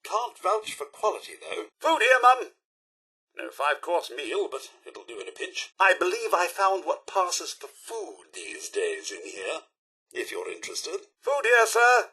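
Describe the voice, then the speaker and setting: An actor playing a prim, courteous robot butler in a video game, with a British accent and a formal, theatrical, dryly witty manner. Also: male voice, sits high, wildly swooping pitch, unhurried, bright, forceful tone, breathy edge, full character voice. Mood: attentive, helpful. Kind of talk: video game character voice lines.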